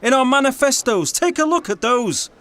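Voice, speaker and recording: An actor playing a principled, argumentative playwright and director in an audio drama. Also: male voice, very high-pitched, animated, normal speed, fairly bright tone. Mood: indignant. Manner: argumentative, indignant. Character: principled, combative male playwright and theatre director.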